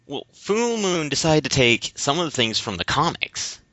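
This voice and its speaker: Male podcast co-host, casual and rambling with halting explanations. Dry but dull, lo-fi recording. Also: a little high, very animated, sharp tone, no echo. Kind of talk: casual discussion of games.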